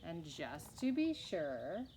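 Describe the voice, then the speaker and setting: Woman, storytelling, expressive picture-book reading, slow rhythmic verse, faint background noise. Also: sits low. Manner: measured rhythmic delivery of rhyming verse. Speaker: read-aloud storyteller.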